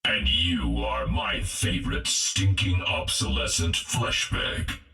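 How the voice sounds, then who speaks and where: A man voicing a cold, disdainful inhuman villain in a video game, with a rasping, gravelly voice. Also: extremely low pitch, expressive, slow pace, bright, forceful tone, faint music behind, full character voice. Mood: cold contempt. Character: contemptuous killer robot and menacing alien parasite, inhuman male villains. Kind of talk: video game character acting.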